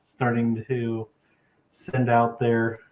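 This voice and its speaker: A man's voice: mid-range voice, monotone, extremely slow, over a noisy crowd, low-quality recording. An American man with an easygoing manner, chatting casually and reading viewer comments aloud as a livestream co-host. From Ohio, United States.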